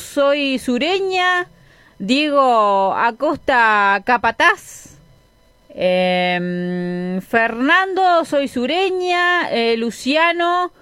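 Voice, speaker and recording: A high-voiced woman co-hosting on the radio, reading out messages at an unhurried pace with small hesitations. The sound is dry and close to the mic. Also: a bit bright, no echo. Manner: measured, with hesitant fillers. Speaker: radio co-host. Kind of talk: reading listener messages on air.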